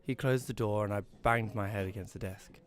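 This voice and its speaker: A man plays a wry British columnist narrating his own audio drama. His voice is on the low side, conversational and a bit grumbling. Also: steady tone, fairly quick, lightly acted. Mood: wry narration. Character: wry, grumbling young male advice columnist. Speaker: narrator and lead character.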